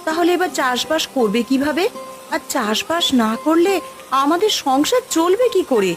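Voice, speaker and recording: A woman's voice: sits high, sing-song, moderate pace, soft background music. A woman voicing a caring, inquisitive village housewife and mother in a cartoon. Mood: concerned. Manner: inquisitive, homely. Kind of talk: cartoon voice acting.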